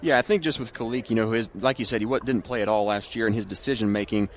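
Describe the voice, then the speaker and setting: Man, low-pitched, rapid delivery, football color analyst, live commentary, outdoor background noise.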